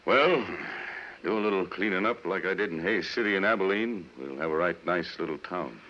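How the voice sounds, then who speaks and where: An actor playing a weary but proud frontier gunfighter in a 1950s radio western, plain-spoken with an American Western drawl. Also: male voice, deep voice, a little fast. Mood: easygoing. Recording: muffled 1950s radio broadcast recording.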